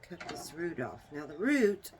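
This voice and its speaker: A woman with a small, soft voice, demonstrating a herbal remedy in a homey, unhurried way while she works. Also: deep voice, very animated, background noise. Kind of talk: casual how-to demonstration.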